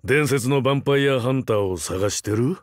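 A male actor playing a rugged older vampire hunter turned teacher in a game, wry, laconic and gruffly fatherly. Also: mid-range voice, a little fast, no room sound, clean studio sound, close to an everyday voice. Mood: wry, evasive. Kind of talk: Japanese mobile game character voice lines.

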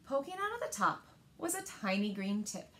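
A woman in her thirties reads picture books aloud to young children with expressive, deliberate phrasing, recorded indoors in a living room. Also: mid-range voice, a little hiss or hum. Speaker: storyteller at a library story time.

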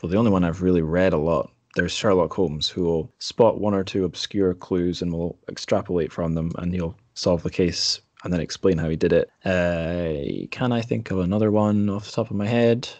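Low-pitched man hosting a podcast. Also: normal speed, few pauses, darkish tone, no room sound. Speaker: podcast host. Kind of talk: casual literary discussion.

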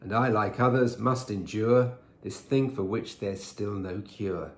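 Man in his sixties, crackly fry in the voice, rhyming story reading, roomy home recording. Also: on the low side, unhurried. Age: 60s. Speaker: poem reader. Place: living room at home. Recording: roomy echo.

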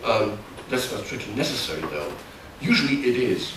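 A middle-aged man with a German accent giving a technical workshop talk in a large hall, explaining in a halting, self-correcting way. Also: lowish voice, sing-song. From Germany, near the Dutch border. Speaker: conference speaker. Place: large darkened conference hall. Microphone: far camera. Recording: echoey hall, distant mic, background noise.

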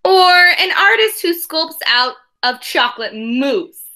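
A woman as an eager schoolboy, bright and high. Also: slow pace, full character voice. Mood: excited, dreamy. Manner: rhyming, sing-song, animated storybook delivery. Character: eager imaginative eight-year-old schoolboy.

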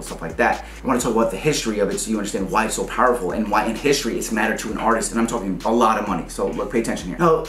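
Fast-talking man, roomy sound. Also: medium pitch. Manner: emphatic, slangy, repeats points for clarity. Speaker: host of a music business channel for rappers. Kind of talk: casual explainer talk to camera. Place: small home studio room, indoors. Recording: roomy indoor echo.

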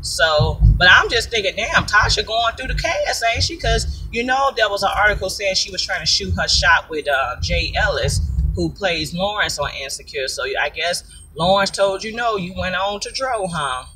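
A woman's voice: mid-range voice, expressive, moderate pace. A middle-aged woman chats away from the driver's seat in a casual gossip vlog, scattering "you know" through every sentence. She is recorded on a phone set a little away from her. Heavy road and street noise runs underneath. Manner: chatty, leans heavily on "you know" fillers. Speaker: vlog host. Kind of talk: casual celebrity gossip chat. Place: inside a car. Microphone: phone camera mounted at the front of the car. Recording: loud road noise, slightly distant mic.

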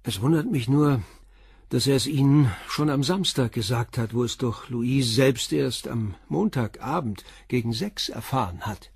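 Probing, composed police commissioner. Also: male voice, mid-range voice, creaky, darkish tone, husky, dry recording, full character voice. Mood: persistent, pressing. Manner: probing, measured questioning. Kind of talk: German crime radio play dialogue.

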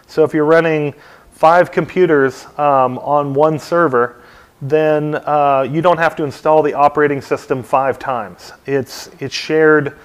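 A middle-aged man teaching a hands-on software install at a lectern in a dim meeting room, talking casually with many ums and uhs. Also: fairly high pitch, steady tone, measured pace, smooth. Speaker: technical trainer. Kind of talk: hands-on tech tutorial talk.

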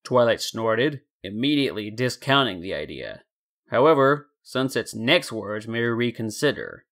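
A man reads fan fiction as its narrator. His voice is medium in pitch, and he delivers the prose deliberately, with a storyteller's sense of drama. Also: animated, a bit bright.

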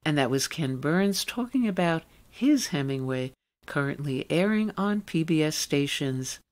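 A woman's voice: sits low, lively intonation, a little slow, darkish tone. A woman radio host presenting and interviewing in a prepared broadcast style.

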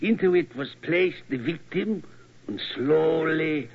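German guide, polite, grimly dramatic, gravelly, radio drama, dull old recording. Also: male voice, fairly high pitch, lively intonation, heavily acted. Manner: deliberate, inverted foreign word order.

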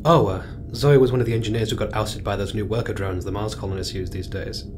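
Man playing a low-voiced, thoughtful space mission leader. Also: fairly even intonation, fast talker, close to an everyday voice. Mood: friendly. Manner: gentle, musing, hesitant. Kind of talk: naturalistic audio drama acting. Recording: clear low rumbling noise.

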